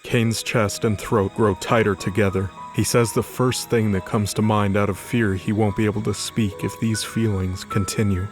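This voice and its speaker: A man's voice: on the low side, little pitch movement, normal speed, few pauses. A man narrating scripted fiction as the storyteller of an audio drama. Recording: faint music under the voice. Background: music.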